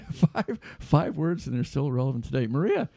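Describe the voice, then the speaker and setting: A man's voice: fairly high pitch, big pitch swings, moderate pace, creaky, noisy background. An older man hosting a casual talk show, folksy and rambling with many restarts. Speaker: host. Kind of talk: casual interview chat.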